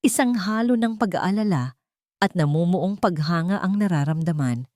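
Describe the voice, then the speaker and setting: Expressive low-voiced Filipino woman storyteller. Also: normal speed, no room sound, clean studio sound. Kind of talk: dramatic scripted story narration.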